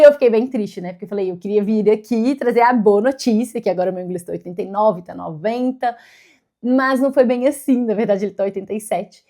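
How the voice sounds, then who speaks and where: A woman's voice: a little high, dark tone. A woman with a Brazilian Portuguese accent chats casually to her followers as a vlogger, explaining things and checking in with tag questions. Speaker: vlogger, career coach. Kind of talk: casual personal vlog chat to followers.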